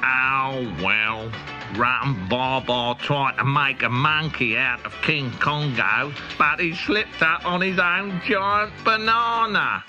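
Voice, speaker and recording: A man's voice: medium pitch, slow pace, voice pushed down, lightly acted. A man voicing an easygoing male cartoon cat, musing wryly in a storybook reading. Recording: dull cassette tape with music.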